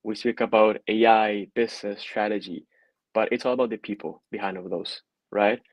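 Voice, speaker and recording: A man speaks as a panelist in a discussion. His voice sits a little low, and the sound is dry, with no room echo. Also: steady tone, normal speed. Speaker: panelist, design strategist.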